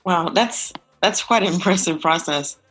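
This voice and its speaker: A man's voice: high voice, normal speed, fairly bright tone, soft background music. A man hosting an interview podcast, responding encouragingly to his guest and asking questions. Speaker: podcast host. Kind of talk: interview conversation.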